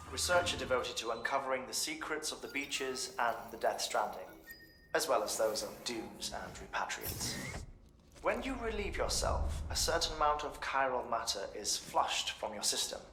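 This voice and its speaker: A man's voice: medium pitch, lively intonation, moderate pace, echoey room, music in the background, lightly acted. A man acting a scholarly researcher in a video game, explaining things in a formal, articulate, clinical manner. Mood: formal, matter-of-fact.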